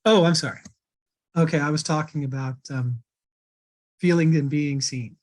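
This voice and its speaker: A man with a slightly higher voice leads a workshop over a video call. He speaks in a calm, reassuring, instructive way. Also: moderate pace. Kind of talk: online workshop teaching.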